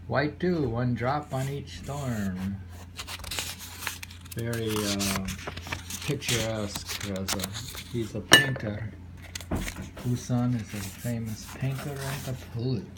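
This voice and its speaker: A man reading haiku aloud with casual commentary, in an unhurried, musing way with pauses between phrases. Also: mid-range voice. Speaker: poetry reader and commentator. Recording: clearly noisy home recording with ambience.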